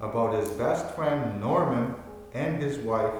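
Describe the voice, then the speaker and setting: A man's voice: medium pitch, slow pace, lightly acted. A man reading a scripted introduction as the announcer of a radio play. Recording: echoey, distant, music behind.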